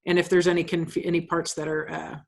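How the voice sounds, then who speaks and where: A woman's voice: on the low side, flat delivery, fairly quick, vocal fry. A woman playwright explaining her project informally, often rephrasing as she asks for feedback.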